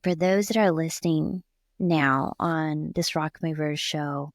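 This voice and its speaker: Woman podcast host, lower voice, warmly effusive and affirming, dry close sound. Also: steady tone, slow, dry recording. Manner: affirming, effusive, with frequent "yeah" backchannels. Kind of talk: conversational interview.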